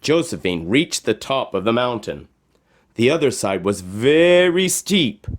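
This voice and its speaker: Very animated, unhurried male storybook reader. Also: medium pitch. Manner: dramatic, expressive with sound words. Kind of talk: animated read-aloud narration.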